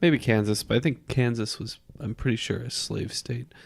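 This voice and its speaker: A man's voice: mid-range voice, moderate pace, vocal fry, breathy edge, dry recording, clean studio sound. A man playing a trivia game, reasoning through answers and correcting others in a matter-of-fact way. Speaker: quiz contestant. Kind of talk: casual podcast banter.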